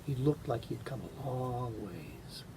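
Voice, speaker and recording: A man reading aloud as a storyteller, with measured, gentle phrasing and pauses. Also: expressive. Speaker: actor reading a bedtime story. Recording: home recording with noticeable rumbling noise.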